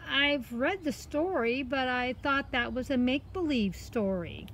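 A woman's voice: high voice, lively intonation, unhurried, fairly bright tone, voice pushed up, exaggerated voice. A woman voicing a timid, polite little girl in a children's story, hesitant and earnest. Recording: outdoor ambient noise, faint.

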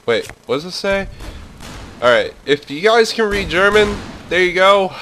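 A man's voice: high-pitched, big pitch swings, unhurried, bright, forceful tone, a little hiss or hum. A young man hosting a gaming video, commenting nervously as he plays, swearing and laughing nervously, yelping when startled. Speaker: gaming channel host. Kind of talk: let's play commentary.

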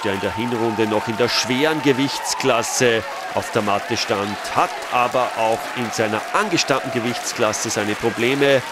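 A man's voice: medium pitch, very noisy. A man giving matter-of-fact commentary as a voice-over, lifting his voice at the key moments. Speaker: sports commentator. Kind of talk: recorded match commentary voice-over. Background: cheering crowd in a sports hall.

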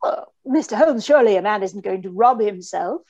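A woman's voice: medium pitch, animated, a little fast, darkish tone, lo-fi sound. A woman playing a frightened, earnest young lady in an old-time radio mystery, with a breathless, hesitant manner. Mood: flustered. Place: indoor room on a video call.

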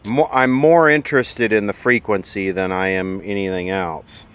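A man's voice: on the low side, fairly even intonation, normal speed, fairly bright tone, smooth. A man giving casual, off-the-cuff commentary as he looks over vintage electronics, with dry, sardonic asides. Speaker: vintage electronics repair host.

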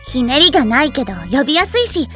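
A woman's voice: high-pitched, expressive, fast talker, faint music behind. A woman voicing a polite, cheerful young princess who is sweetly bossy. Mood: pleased. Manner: polite, prim, quietly commanding.

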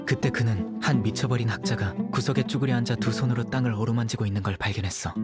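Actor as a stern young judge, calm and dry, game dub, music under. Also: male voice, mid-range voice, fast talker, close to an everyday voice.

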